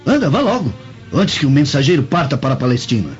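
Imperious, animated Roman nobleman. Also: fairly high pitch, quick pace. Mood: brisk, commanding. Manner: commanding, curt. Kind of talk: radio drama acting. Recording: dull lo-fi radio drama, music behind.

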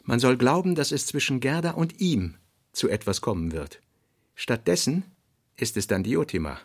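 Guy narrating literary radio piece, deliberate, gravelly voice, very dry close sound. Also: mid-range voice, expressive, no room sound.

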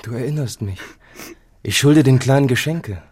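An actor playing a solemn, brooding farmer in a literary radio drama, speaking gravely and deliberately. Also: male voice, medium pitch, darkish tone, lightly acted.

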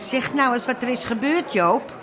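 A woman's voice: a little high, normal speed, crowd noise, close to an everyday voice. An actress playing an anxious café landlady, agitated and stammering, in a radio drama. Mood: curious.

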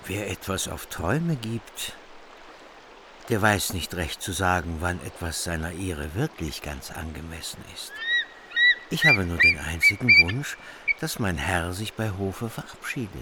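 An actor playing a wary, forceful royal warrior in a German radio play of a medieval epic, speaking emphatically. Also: male voice, low-pitched, wildly swooping pitch, unhurried, heavy background noise, heavily acted. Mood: stern, warning. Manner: emphatic, declamatory.

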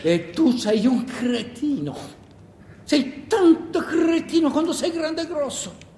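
Male actor as a shrill, scornful scold. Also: sing-song, slow, warm tone, exaggerated voice. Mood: scornful, furious. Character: scornful, furious scold berating a grown man as an idiot. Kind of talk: theatrical monologue, radio stage drama. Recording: echoey hall, long reverb, faint noise.

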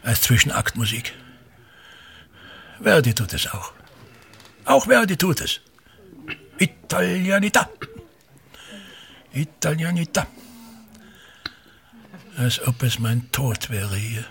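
A man's voice: medium pitch, sing-song, slow, creaky, pauses often, fairly bright tone, heavily acted. An actor playing a pompous, self-important older theatre man and tyrannical father, declaiming disdainfully and repeating his phrases in a radio drama. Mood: pompous musing.

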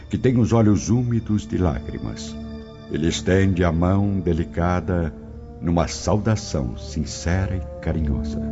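Deep guy narrator, really lively delivery, dramatic radio play, loud music behind, kinda lofi. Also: lo-fi sound.

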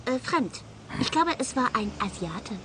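An actress playing a flustered, huffy passer-by witness in a radio play, indignant and hesitant. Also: female voice, on the high side, sing-song, unhurried, a bit bright, a little hiss or hum.

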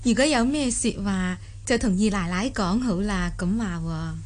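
A woman's voice: medium pitch, normal speed, slight background noise, natural voice. A woman playing a helpful, respectful senior servant in a Cantonese radio drama.

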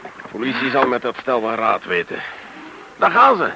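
An actor playing a brisk, dryly ironic rescuer in a Dutch radio drama. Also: male voice, medium pitch, sing-song, vocal fry. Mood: dry, teasing. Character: brisk, wry adult male rescuer and adventurer. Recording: noisy old radio drama recording.

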